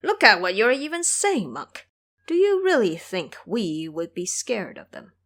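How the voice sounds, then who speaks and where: A woman's voice: mid-range voice, huge pitch swings, unhurried, a bit bright, exaggerated voice. A woman playing an imperious, emotional king with a grand, formal manner in an audio drama. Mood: amused, commanding. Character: distraught, pleading, imperious king. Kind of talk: audio drama character acting.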